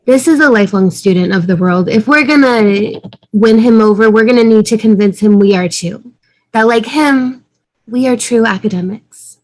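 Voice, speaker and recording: A woman's voice: on the high side, normal speed, a little mellow, close to an everyday voice, rough recording. A woman playing an earnest, bossy, studious young woman with a firm, matter-of-fact manner in a fan table read on a video call.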